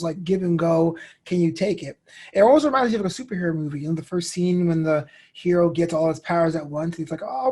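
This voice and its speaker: A man's voice: high voice, husky. A man in his thirties from Brooklyn with an American accent, talking candidly and telling stories as an interview guest. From Brooklyn, United States. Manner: animated storytelling, acts out inner quotes. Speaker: interview guest, comedian and host.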